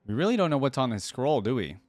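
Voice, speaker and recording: A man's voice: medium pitch, big pitch swings, fast, darkish tone, no echo, soft background music. A man hosting a reaction video, commenting casually with brief, musing interjections and repeating bits of what he hears.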